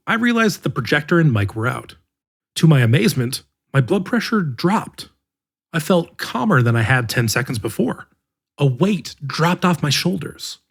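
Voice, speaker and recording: Husky man, lively narration. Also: a little high. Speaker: podcast narrator reading an article. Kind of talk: scripted narration.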